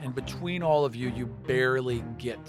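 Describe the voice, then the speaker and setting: A man running a tabletop game as game master, narrating in a brisk, matter-of-fact way. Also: medium pitch, loud music behind the voice.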